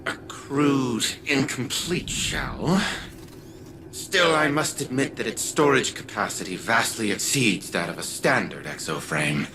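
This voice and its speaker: An actor playing an arrogant old scientist turned artificial mind in a video game, formal and condescending. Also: male voice, medium pitch, animated, measured pace, slight background noise, low-quality recording. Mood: grave, imperious. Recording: loud music under the voice.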